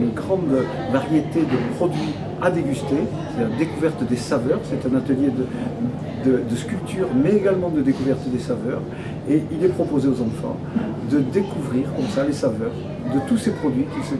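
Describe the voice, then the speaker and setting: A man in his fifties speaks at a middling pitch, as if answering an interview. He explains in a measured, hesitant way, pausing often within phrases. Loud ambient noise runs behind him. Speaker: restaurant owner interviewee. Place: indoor restaurant room.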